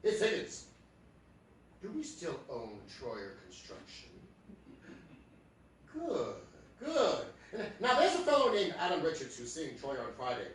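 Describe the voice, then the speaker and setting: An actor playing a blustery secret millionaire posing as a humble lodger, hesitant and quick to bluster, in old-time radio comedy style. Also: male voice, fairly high pitch, huge pitch swings, a bit bright, recorded from a distance, exaggerated voice. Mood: bossy, brisk. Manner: indignant, hesitant, stammering excuses. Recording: echoey theatre stage recorded from afar, noisy.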